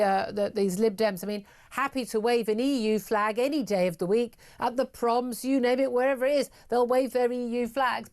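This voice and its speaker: A woman's voice: fairly high pitch, a little mellow. A woman hosting a political talk radio show, emphatic and sarcastic. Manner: emphatic and sarcastic, many fillers.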